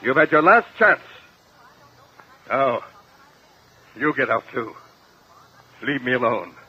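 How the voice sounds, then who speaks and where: Husky stern old judge, vintage radio. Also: male voice, mid-range voice, lively intonation, slow. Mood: stern, indignant. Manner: commanding, scolding. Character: stern, angry older judge, a strict guardian uncle. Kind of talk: 1950 radio drama acting. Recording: muffled vintage 1950 radio recording.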